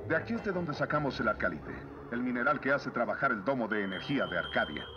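An actor as a brusque mine overseer. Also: male voice, mid-range voice, expressive, quick pace, highs cut off, over music, natural voice. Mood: stern. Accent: Latin American Spanish. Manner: brusque, commanding. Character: stern male overseer of slave mines. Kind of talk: dubbed animated series acting.

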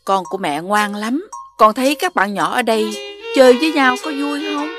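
Woman as a high-voiced, gentle mother moon. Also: expressive, normal speed, lightly acted. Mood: reassuring. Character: gentle, wise mother moon, kindly and patient. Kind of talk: children's cartoon dubbing. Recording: music behind the voice.

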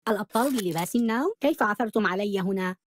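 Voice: mid-range voice, racing, natural voice. An actor voicing a scheming, pompous boy mad scientist, the villain of a cartoon game. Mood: gloating. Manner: pompous, indignant.

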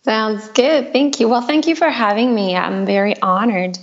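A woman's voice: on the high side, normal speed. French-Canadian woman, hesitant, lo-fi audio. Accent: Québécois French. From Quebec, Canada. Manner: hesitant, many ums, warm laughing asides. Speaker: podcast guest. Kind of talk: casual interview chat.

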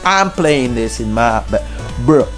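A young man riffs loosely as he plays, slangy and joking with a medium-pitched voice. Game music runs clearly under his talk. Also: animated, takes it slowly. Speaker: gaming YouTuber. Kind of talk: casual gameplay commentary.

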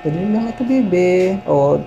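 A man's voice: sits high, expressive, moderate pace, creaky, music in the background. A man working as a barber, coaxing a small child casually with patient, soothing, repeated short reassurances. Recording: dull, noisy phone recording.

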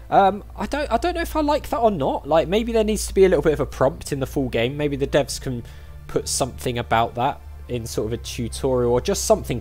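A man hosts a casual gaming video, thinking aloud as he goes. His pitch moves around in a lively way, and game music plays softly behind him. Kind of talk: casual let's-play commentary.

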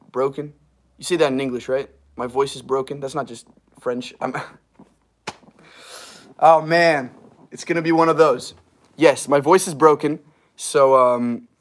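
A young man in his twenties with a slightly hoarse, strained voice, rambling casually with fillers and laughs as he reads viewer comments on a livestream from a small wood-paneled garage room, heard through a device camera at arm's length. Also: medium pitch. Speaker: livestream host.

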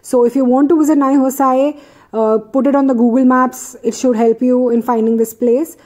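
A woman's voice: high-pitched, steady tone, moderate pace, warm tone. A woman from India chatting casually as a vlogger while getting her nails done indoors, filming on a phone. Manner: chatty, recommending, self-correcting. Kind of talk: casual vlog narration.

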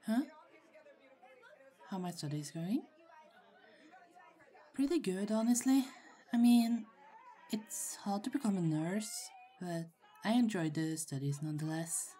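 A young woman playing a shy, friendly college student in an ASMR roleplay, speaking in a hesitant, halting, giggly way. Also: medium pitch, lively intonation, warm tone. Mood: friendly, flustered. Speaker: voice actor in roleplay audio. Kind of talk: ASMR roleplay, acted casual conversation. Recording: faint crowd chatter behind. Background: party crowd murmur.

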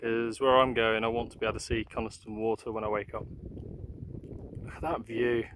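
A man's voice: medium pitch, little pitch movement, fairly quick, background noise. A young man chatting casually and upbeat to a handheld camera while hiking on an open hillside. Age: young adult. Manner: chatty, upbeat, many fillers. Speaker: outdoor vlogger. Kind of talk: casual walking vlog. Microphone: handheld camera at arm's length.

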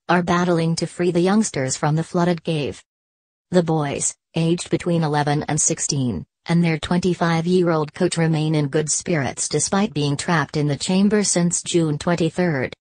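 Low-voiced woman reading news, dry. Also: fairly even intonation, normal speed, darkish tone, dry recording. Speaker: news narrator. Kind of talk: scripted news narration.

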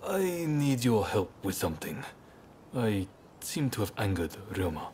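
An actor playing a composed, diplomatic young samurai leader in a video game cutscene, speaking in a measured, polite, thoughtful way. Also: male voice, on the low side, sing-song, darkish tone, a little hiss or hum. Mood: earnest, troubled.

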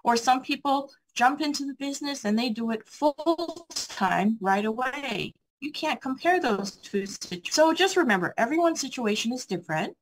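An American woman giving an encouraging motivational seminar talk over a video call, often asking the audience rhetorical questions. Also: fairly high pitch. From USA. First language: English. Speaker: seminar speaker. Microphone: video call mic.

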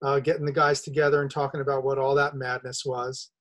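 A middle-aged man hosting a podcast over a video call, explaining his ideas in a measured, thoughtful way. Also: medium pitch, level tone, a bit bright. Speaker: podcast host. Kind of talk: interview conversation. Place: home studio room, video call. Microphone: video call webcam.

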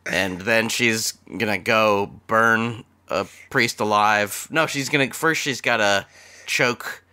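A man's voice: on the low side, slow pace, sharp tone, clean tone. A man in his thirties co-hosting a casual movie-review podcast from home on a studio mic, rambling and groping for words with crude jokes. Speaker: podcast co-host. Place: home room with bookshelves. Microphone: studio mic with headphones, video call.

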